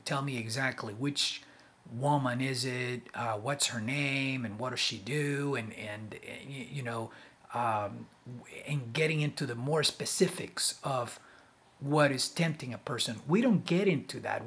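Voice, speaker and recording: A man hosting a casual explanatory talk, speaking haltingly, repeating words and often checking in with the listener. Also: a little high, husky. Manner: halting, repetitive, with frequent check-in "okay?". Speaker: host, accountability group leader.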